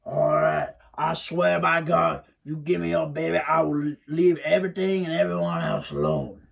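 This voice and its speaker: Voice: medium pitch, fairly even intonation, moderate pace, vocal fry, slightly rough. A storyteller voicing the hairy man, a menacing male swamp monster who boasts and threatens. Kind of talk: oral folktale telling.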